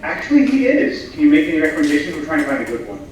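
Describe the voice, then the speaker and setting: A man playing a blunt, deadpan, obliging henchman in a staged comedy reading. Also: fairly high pitch, very fast. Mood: matter-of-fact. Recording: very echoey room, distant mic, faint noise.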